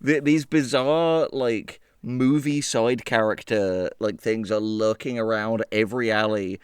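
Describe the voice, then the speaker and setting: A man's voice: on the low side, steady tone, measured pace, clear, resonant tone, no echo, studio quality. A man co-hosting a comedy podcast in casual chat, joking profanely and hyperbolically and reading things aloud mockingly. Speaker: podcast co-host.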